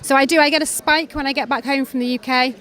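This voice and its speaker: A woman with a Welsh accent, a creative director, answering interview questions backstage at a fashion show in a brisk, confident and articulate way. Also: high-pitched, fairly even intonation, a bit bright. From Wales.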